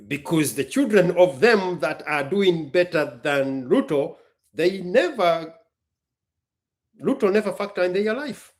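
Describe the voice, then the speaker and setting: A man's voice: high voice, animated, a little slow. A man hosting a live stream, challenging his viewers in a combative way. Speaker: livestream host and rapper. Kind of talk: live stream talk mixing rap and opinion.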